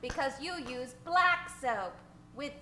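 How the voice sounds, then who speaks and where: Sugary doting radio sweetheart, super high bright voice, theatrical, music behind. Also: female voice, lively intonation, slow, roomy sound, exaggerated voice. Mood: chipper. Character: chipper, doting female sweetheart, sugary homemaker. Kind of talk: old-time radio comedy acting.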